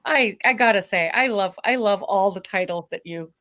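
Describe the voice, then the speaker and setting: A woman's voice: medium pitch, moderate pace, fairly bright tone. A woman moderating a panel, introducing speakers in a friendly, slightly hesitant way with frequent ums.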